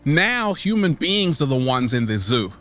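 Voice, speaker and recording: Animated, bright-voiced man with theatrical delivery. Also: medium pitch, faint music behind. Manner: theatrical, repeats catchphrases, dramatic pauses, exclaims. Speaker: vlog host. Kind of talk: animated comedic monologue to camera.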